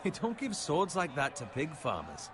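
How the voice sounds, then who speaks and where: An actor playing a cocky, teasing young soldier in a medieval fantasy game, speaking bluntly and mockingly. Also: male voice, a little high, wildly swooping pitch, normal speed, crowd noise. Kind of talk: video game fantasy acting.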